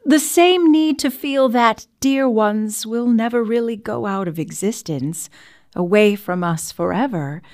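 A woman's voice: on the high side, unhurried, a little mellow. A woman playing a thoughtful American letter writer of the early twentieth century in a dramatic reading. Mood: reflective.

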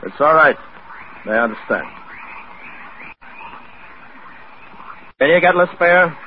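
A man's voice: fairly high pitch, expressive, normal speed, slight background noise, close to an everyday voice. An actor playing a remorseful, earnest spaceman facing death in a 1950s radio drama. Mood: tender farewell. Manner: brooding, confessional, earnest. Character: remorseful doomed spaceman drifting toward death. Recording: muffled 1950s radio recording.

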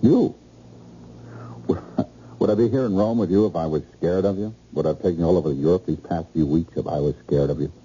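A man's voice: sits low, a little fast, warm tone, slightly rough. An actor playing an anxious, jealous, well-to-do stockbroker in an old-time radio drama, agitated and repeating his words insistently. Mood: defensive. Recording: muffled, noisy old radio recording.

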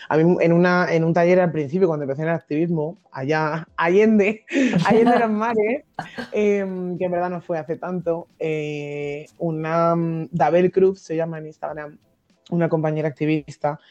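A young woman from Madrid with a Madrid accent, a podcast guest explaining her ideas thoughtfully, with many pauses and restarts. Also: lowish voice, fairly even intonation, a little mellow, muffled recording. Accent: Madrid Spanish. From Madrid, Spain. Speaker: guest activist. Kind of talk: podcast interview.

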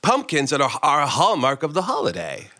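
Man playing anxious male shopper, incredulous, flustered, high-pitched, wildly animated, comic audiobook. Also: moderate pace, full character voice. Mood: nervous alarm. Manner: flustered, stammering, excitable. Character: anxious, incredulous male holiday-loving shopper, easily alarmed. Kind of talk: comic audiobook character acting.

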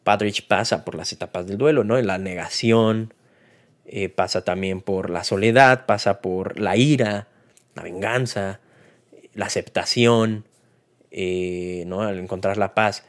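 Casual Mexican man, slightly low voice. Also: a little slow. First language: Spanish. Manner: conversational, colloquial, retells scenes with acted-out quotes. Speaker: film podcast host and reviewer. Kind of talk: casual, unscripted film review.

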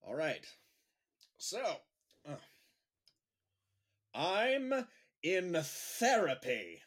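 A man's voice: fairly high pitch, wildly swooping pitch, extremely slow, fairly bright tone, full character voice. An actor playing a nervous, earnest male gym teacher who speaks awkwardly and hesitantly in an audio drama. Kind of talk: naturalistic audio drama dialogue.

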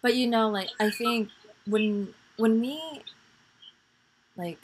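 Podcast host: young woman, soft and rambling, light hiss in the background. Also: fairly high pitch, fairly even intonation, slow. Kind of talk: casual faith chat.

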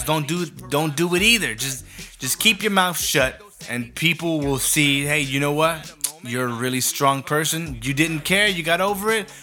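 Blunt ranting man, bright voice. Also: medium pitch. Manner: blunt, profane, animated. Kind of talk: casual comedic rant.

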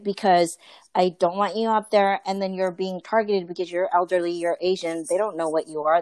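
A woman's voice: fairly low pitch, no room sound. A woman in a casual group conversation, talking earnestly with many fillers and restarts. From Filipino family.

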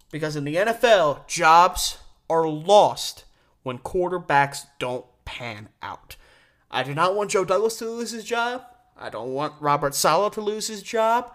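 A man's voice: on the high side, fairly bright tone. A man hosting a solo sports show, giving opinionated commentary with emphatic delivery and words stretched out for stress. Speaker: sports podcast host.

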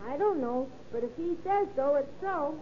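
A woman's voice: sits high, lively intonation, normal speed. A woman playing a cheeky, eager little American boy who talks in pestering slang in an old-time radio drama. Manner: pestering, slangy childlike talk. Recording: muffled, noisy old radio recording.

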